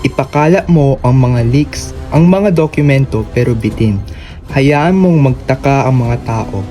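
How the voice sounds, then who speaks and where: A man's voice: medium pitch, unhurried, dark tone, soft background music, close to an everyday voice. A man acting a defiant, grieving son exposing his corrupt father in a solemn, declamatory Filipino radio drama style. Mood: vengeful, grim.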